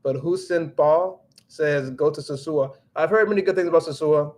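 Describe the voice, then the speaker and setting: A man's voice: on the high side, moderate pace, darkish tone. A young man hosting a casual livestream, chatting loosely, with many restarts and fillers. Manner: rambling with frequent restarts and "like". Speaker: livestream host reading chat. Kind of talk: casual livestream chat, mixing English and Spanish.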